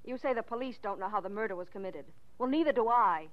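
An actress playing a defensive, cagey widow in a 1940s radio drama, with a pointed, dramatic delivery. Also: female voice, medium pitch, animated, quick pace, natural voice. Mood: upset, defensive. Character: defensive, cagey, bitter widow of a murdered man. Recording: dull, lo-fi 1940s radio recording.

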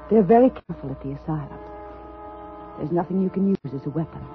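A woman's voice: low-pitched, animated, phone-quality sound. Intense, unstable woman, vintage radio sound. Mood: hushed, intent. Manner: dramatic, intense, halting. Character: unstable woman named Jane telling a haunting story. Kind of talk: 1950s radio suspense acting. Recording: thin vintage radio recording with music.

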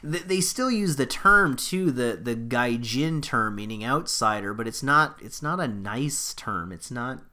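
A man's voice: mid-range voice, animated, measured pace, hardly any pauses, pushed and bright. A man hosting a podcast, talking through wrestling news in a rambling, self-correcting, conversational way. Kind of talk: casual solo commentary.

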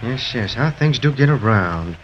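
남자 배우가 연기하는 과장되고 수다스러운 눈사람 신사, 잡음 섞인 먹먹한 옛 라디오 녹음. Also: male voice, mid-range voice, expressive, moderate pace, lightly acted. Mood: chatty, self-pleased. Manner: pompous, flowery, repeats his own phrases. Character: chatty, forgetful, genial snowman gentleman with silk hat. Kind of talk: 1930s children's radio serial acting. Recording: muffled, noisy 1930s radio recording.

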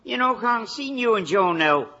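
A man's voice: sits high, lively intonation, moderate pace, bright, forceful tone, full character voice. An actor playing a sly, good-natured old Irish father in a 1950s radio drama, with an Irish accent. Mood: sly, pleased. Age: older. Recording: muffled old radio broadcast.

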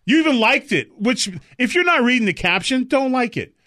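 A man co-hosting a talk show, chatting casually, joking and rambling, with sudden loud excited outbursts. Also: very high-pitched, a little fast, no room sound, studio quality. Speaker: co-host.

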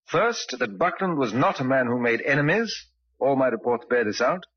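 An actor playing a courteous, shrewd police inspector in an old radio drama, formal and probing in manner. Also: male voice, mid-range voice, animated, normal speed, husky. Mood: polite, probing. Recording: dull old radio recording.

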